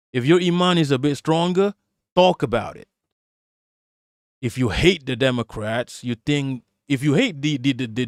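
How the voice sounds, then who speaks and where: A man speaking in a put-on American accent at a middle pitch, recorded dry and close with no room sound. He reads passages aloud and then breaks into emphatic, profane commentary, often tripping over and repeating his words. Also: no room sound. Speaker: livestream political commentator. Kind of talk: reading aloud mixed with ranting commentary.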